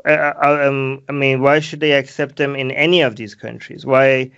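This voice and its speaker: A man's voice: medium pitch, steady tone, moderate pace, a bit bright, clean tone, dull audio. A man in his thirties interviewing a guest over a video call, asking probing, questioning follow-ups full of fillers. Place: small room, plain wall.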